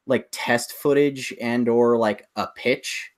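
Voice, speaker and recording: A man's voice: mid-range voice, fairly even intonation, takes it slowly. Man, mid-range voice, casual chat, rambling, enthusiastic, podcast co-host. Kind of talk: casual chat about films and games.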